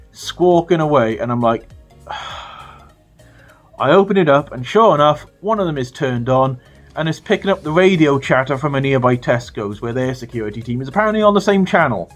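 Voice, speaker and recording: A British man telling a funny story to his viewers in a casual, animated way, swearing freely. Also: mid-range voice. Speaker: storytelling vlogger.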